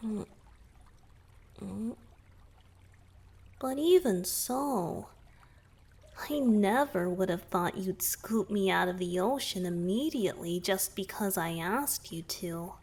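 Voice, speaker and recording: A gentle young merman boyfriend played by a woman in a romantic ASMR roleplay. The voice is soft and very breathy, often slipping toward a whisper. The delivery is extremely slow, tender and playfully affectionate. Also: mid-range voice, heavily acted. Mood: teasing, affectionate. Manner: tender, unhurried, softly teasing.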